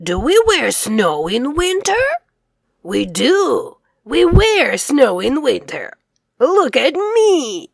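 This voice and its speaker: A middle-aged woman reading aloud to children as an English teacher, enunciating each phrase clearly. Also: high voice, big pitch swings, slow, no echo. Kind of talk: children's read-aloud lesson.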